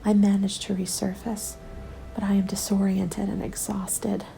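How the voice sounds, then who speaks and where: A woman narrating a scripted first-person ASMR story in a soft, measured, often whispery delivery. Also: medium pitch, flat delivery, creaky. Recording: background music under the voice. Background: music.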